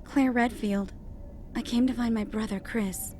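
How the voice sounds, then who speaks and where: A woman's voice: fairly high pitch, fairly even intonation, measured pace, dark tone, a little hiss or hum, natural voice. A woman playing a gutsy, composed young woman with a lilting, slightly sarcastic voice in an audio horror drama. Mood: wry, composed.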